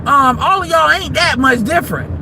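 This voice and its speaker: A man, delivering a heated, profane monologue that rises into shouts. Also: extremely high pitch, lively intonation, moderate pace, noisy background. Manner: heated, combative, swearing freely, rising to shouts. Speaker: livestream commentator.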